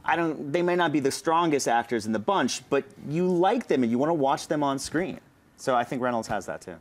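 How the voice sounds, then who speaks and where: A man arguing earnestly and a little haltingly as a guest in a casual film discussion. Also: a little high, lively intonation. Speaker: panel guest, film writer.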